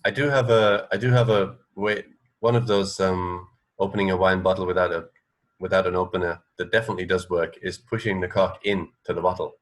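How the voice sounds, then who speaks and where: A middle-aged man with a low-pitched voice talks casually as a radio co-host. He explains things step by step, often hesitating as he phrases them. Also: fairly even intonation, fairly quick. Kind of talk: casual chat. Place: indoor room, video call.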